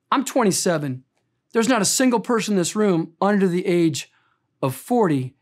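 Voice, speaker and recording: Earnest pastor in his fifties, middle pitch. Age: 50s. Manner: earnest storytelling, slows down for emphasis. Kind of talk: sermon.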